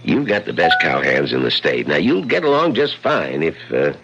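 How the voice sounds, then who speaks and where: A man acting in a radio drama as a folksy, cautionary Western local, with a gravelly voice and plain-spoken, serious delivery. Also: rumbling low, sing-song, normal speed, a bit bright, full character voice. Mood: earnest. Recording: muffled old radio recording.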